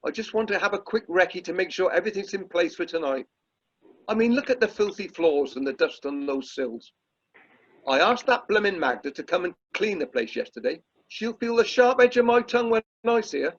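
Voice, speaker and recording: A man's voice: high-pitched, expressive, brisk. An amateur actor playing a pompous, irritable middle-aged British drama society chairman in a radio play. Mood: irritated, bossy. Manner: pompous, self-dramatising, exasperated. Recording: muffled, lo-fi video call audio.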